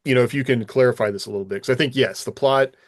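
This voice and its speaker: A man's voice: mid-range voice, very fast, no echo, studio quality. A man co-hosting a podcast as a writer, discussing craft casually in a tentative, explanatory way.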